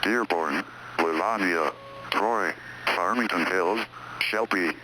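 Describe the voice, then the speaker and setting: A man's voice: low-pitched, slow pace. A synthetic male text-to-speech voice reading a formal scripted weather warning as an emergency announcer. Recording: noisy radio alert broadcast.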